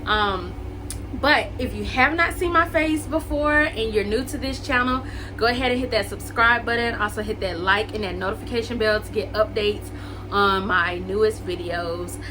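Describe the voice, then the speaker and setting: A woman's voice: mid-range voice, moderate pace. A woman in her late twenties chatting casually to her viewers, full of "like" and "um" and calling them y'all. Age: 20s. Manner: chatty, lots of likes and ums, addresses viewers as y'all and guys. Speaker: vlogger. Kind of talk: casual get-to-know-me chat to camera.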